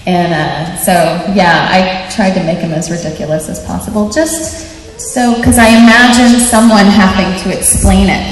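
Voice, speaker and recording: A woman's voice: medium pitch, normal speed. A woman, a voice actress on a convention panel, chatting in a rambling, giggly way. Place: large convention panel hall. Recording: echoey hall, phone recording, faint noise.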